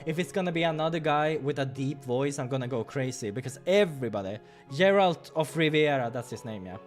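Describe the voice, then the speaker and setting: A man's voice: on the high side, lively intonation, a little fast, faint music behind. A man hosting a game playthrough, reading visual novel narration and breaking off for casual asides.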